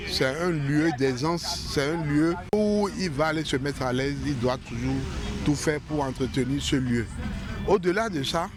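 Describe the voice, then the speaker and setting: A man answering a street interview outdoors, speaking in a measured, moralizing way. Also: fairly high pitch.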